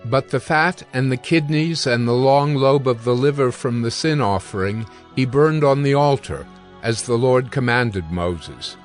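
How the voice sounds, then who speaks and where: A man giving scripted scripture narration in a measured, solemn manner. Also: medium pitch. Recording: background music under narration.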